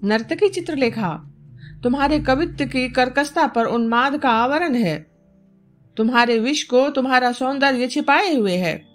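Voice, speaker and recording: A woman voicing a stern, composed young male yogi in a storybook reading, with grave, deliberate, formal pronouncements. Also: a little high, close to an everyday voice. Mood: cutting, solemn. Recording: music bed behind the voice.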